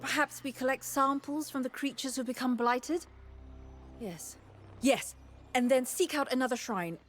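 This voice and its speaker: A woman's voice: high voice, unhurried, soft background music. An actress playing a curious, analytical woman scholar in a fantasy video game, articulate and inquisitive. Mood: curious, earnest. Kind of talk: video game dialogue acting.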